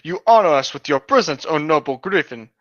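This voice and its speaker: A man's voice: a little high, animated, fairly quick, a bit bright, no echo, lo-fi sound. A man voicing a reverent, formal young princess with lofty delivery in a comic story reading. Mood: awed.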